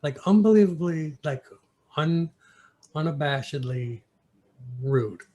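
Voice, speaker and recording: A man's voice: a little high, expressive, warm tone, dull audio. A man from New York with an American accent, talking casually as an interview guest and searching for words. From United States, New York. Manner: halting, searching for words, thoughtful.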